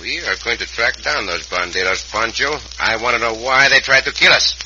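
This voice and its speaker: Gallant Mexican cowboy hero, hot-tempered, medium pitch, courtly phrasing with Spanish words, dull and noisy old radio recording. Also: male voice, brisk, pushed and bright. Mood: determined. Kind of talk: old-time radio western acting. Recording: muffled, hissy vintage radio recording.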